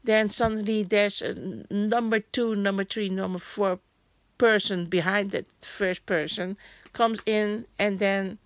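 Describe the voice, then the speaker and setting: A woman vlogging casually about a newspaper, speaking English as a Hakka and Cantonese native, often pausing to search for words. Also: mid-range voice, fairly even intonation, no echo. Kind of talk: casual chat. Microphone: phone camera held over a newspaper.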